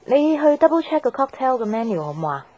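An actress playing a gentle young career woman in love in a radio drama, speaking Hong Kong Cantonese. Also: fairly high pitch, very animated, fast, rough recording. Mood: businesslike, friendly. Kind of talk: radio drama narration and dialogue. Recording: music behind the voice.

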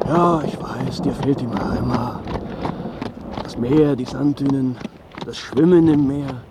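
Ein Schauspieler spielt in einem Hörspiel einen liebevollen Pferdepfleger, der seinem Tier gut zuredet. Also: male voice, medium pitch. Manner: coaxing, speaking tenderly to an animal. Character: affectionate male horse handler, encouraging and soothing. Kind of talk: radio drama acting. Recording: very noisy outdoor scene, horse hooves.

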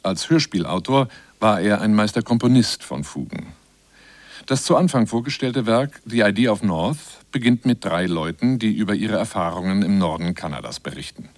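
A man's voice: lowish voice, lively intonation, moderate pace, dry recording. A man reading scripted narration for a German radio feature as its presenter.

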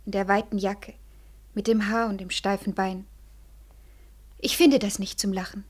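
A woman's voice: medium pitch, flat delivery, moderate pace, natural voice. An actress playing a lonely, tender young woman in a 1940s radio play, coaxing and pleading, often sinking into a whisper. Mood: tender, sorrowful. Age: young adult.

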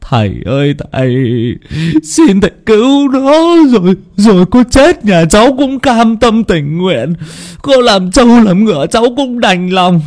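High, wailing, desperate father. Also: very animated, measured pace, darkish tone, heavily acted. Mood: tearful pleading. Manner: wailing, pleading. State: tearful. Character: desperate, humble widowed father begging for help. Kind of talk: dramatized horror story narration.

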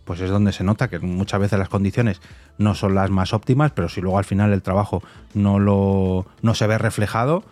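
A man's voice: lowish voice, steady tone, normal speed, darkish tone, soft background music. A man with a Castilian Spanish accent hosting a conversational interview, informal and reflective, repeating phrases for emphasis. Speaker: podcast host, interviewer.

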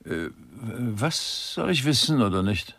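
Gravelly, slow old grumbler. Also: male voice, medium pitch, sing-song, fairly bright tone, no room sound, full character voice. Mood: grumpy, weary. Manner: pedantic, world-weary grumbling. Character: grumpy, misanthropic old male critic. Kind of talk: German radio play acting.

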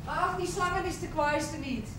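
A woman's voice: extremely high pitch, normal speed, a bit bright. An actress playing a chatty, forthright countrywoman who gossips bluntly and with plenty of exclamation. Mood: friendly, teasing. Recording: noisy old TV drama soundtrack.

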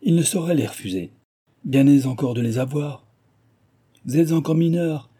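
A man reading an audiobook and voicing a stingy, gruff old male miser who blusters and scolds. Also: fairly high pitch, normal speed, voice pushed up. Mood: shouting. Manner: blustering, shouting, scornful. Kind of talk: audiobook dialogue.

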